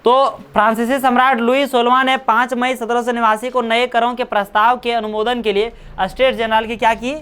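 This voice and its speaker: A woman's voice: a little high, little pitch movement, normal speed, few pauses, a bit bright, clean tone. A woman coaching-class teacher giving a classroom history lecture, often checking that students follow and repeating dates. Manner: keeps checking understanding, repeats dates, teasing asides.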